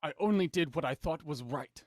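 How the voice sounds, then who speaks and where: Man acting a menacing male villain, smug, condescending, highly animated intonation, close dry sound, audio drama. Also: fairly quick, no room sound, close to an everyday voice. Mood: cold, resigned. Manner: mocking, theatrical, condescending. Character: smug, menacing male villain, cold culprit and puppet-master writer.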